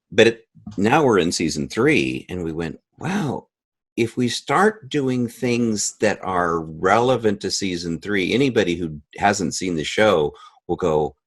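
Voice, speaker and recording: A man's voice: low voice, sing-song, slow pace, husky. A man talking casually as a guest in an interview, telling stories about his creative work.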